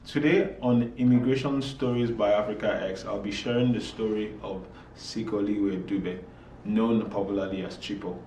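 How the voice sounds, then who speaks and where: A man hosting an interview, speaking in a measured, polite way with frequent ums. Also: on the low side, steady tone, dark tone, slight background noise, rough recording. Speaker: podcast host. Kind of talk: conversational interview.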